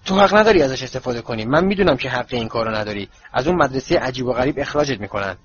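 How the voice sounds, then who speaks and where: A man's voice: mid-range voice, lively intonation, highs cut off, close to an everyday voice. A man voicing a sulky, cowardly teenage bully who whines and shrieks for help. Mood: taunting. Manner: whining, then shrieking for help.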